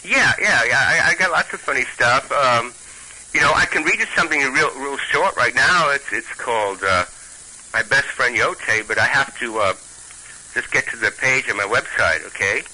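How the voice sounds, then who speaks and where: A man with a Brooklyn accent, a storyteller and stand-up comic, chatting haltingly with many restarts and reading a comic tale aloud. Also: mid-range voice, expressive, pushed and bright, low-quality recording.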